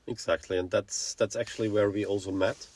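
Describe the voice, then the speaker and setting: A man's voice: fairly low pitch, fairly even intonation, a little fast, darkish tone. A man in his forties with an Austrian accent, a native German speaker, co-hosting a casual podcast in a frank, informal way, often searching for words. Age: 40s. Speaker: podcast co-host.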